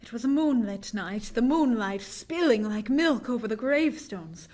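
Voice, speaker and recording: A woman performs a young horror heroine in old-time radio style. Her voice sits high, and she speaks with earnest, dramatic unease, like a devoted friend caught up in dread. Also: expressive, moderate pace, a little mellow. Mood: haunted narrating. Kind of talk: vintage radio drama acting and narration.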